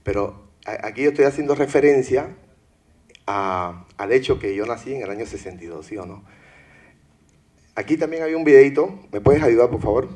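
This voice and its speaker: A man in his fifties from Peru, giving a conference presentation on teaching. He talks in a relaxed way and keeps checking in with "¿no verdad?" and "digamos". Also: medium pitch, moderate pace, long pauses. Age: 50s. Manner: filler-heavy, asks "¿no verdad?" often. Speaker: conference speaker. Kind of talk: educational presentation. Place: conference hall.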